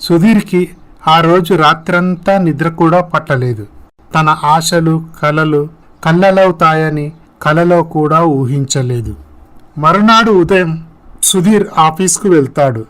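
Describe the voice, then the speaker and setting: A male storyteller with a moderately high voice. He narrates a scripted moral tale as its narrator. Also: takes it slowly, fairly bright tone.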